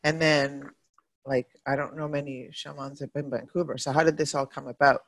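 A woman's voice: very deep voice, fairly even intonation, moderate pace, gravelly, dry recording. A woman hosting a podcast, chatty and enthusiastic, using casual slang. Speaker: podcast host.

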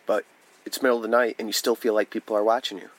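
A man's voice: fairly low pitch, little pitch movement, quick pace. A young man with a nasal voice co-hosting a podcast, teasing and cracking crude jokes in casual chat.

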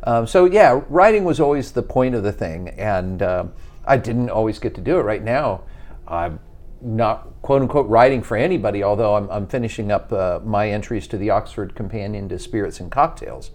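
Wry, lively man telling stories. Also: on the low side, normal speed. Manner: animated storyteller, wry, mimics voices in quotes. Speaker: wine writer guest. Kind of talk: casual interview chat.

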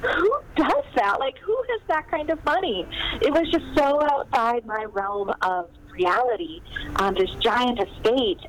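A woman author talking casually in an interview, chatty and animated, with plenty of fillers and laughs. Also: fairly high pitch, a little slow, rough recording. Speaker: guest author. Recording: faint background noise.

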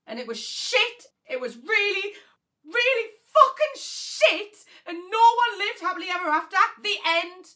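Grieving sister, wry and dramatic, dark humour, very varied intonation, audio drama. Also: female voice, high voice. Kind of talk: naturalistic audio drama acting, comedy and pathos.

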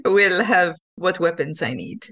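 A woman's voice: on the low side, animated, measured pace, fairly bright tone. Hesitant woman asking questions mid-game. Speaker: player in a tabletop roleplaying podcast. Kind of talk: casual improvised game talk.

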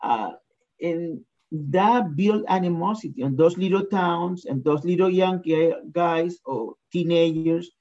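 A man speaks earnestly and reflectively, sharing his own view at a slightly raised pitch. The audio is dull and lo-fi, as if recorded at home. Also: unhurried, dark tone. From Chile. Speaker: discussion participant. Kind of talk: sharing a personal opinion.

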